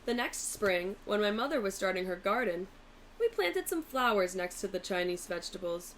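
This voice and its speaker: A woman narrating a children's storybook aloud in an expressive, deliberate way. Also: mid-range voice, a little hiss or hum, poor audio. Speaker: teacher reading a picture book aloud.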